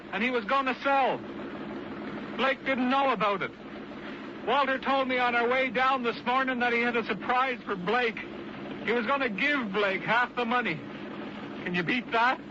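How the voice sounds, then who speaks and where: A muffled old radio broadcast with clear background noise. a plain-spoken boatman, very high in pitch, reporting in a folksy, uneasy, conversational way. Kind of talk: old-time radio acting.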